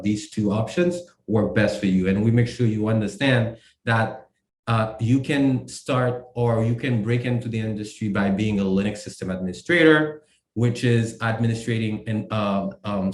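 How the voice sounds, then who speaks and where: A man from Haiti, a company co-founder, explaining his work in a casual interview, halting with many fillers and restarts. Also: medium pitch, roomy sound. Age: adult.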